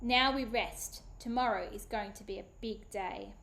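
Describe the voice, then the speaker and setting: A woman reading a children's book aloud, voicing a brave young boy who looks after his little sister in hushed, eager tones. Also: mid-range voice, normal speed, creaky. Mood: reassuring. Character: brave, caring young boy, protective brother.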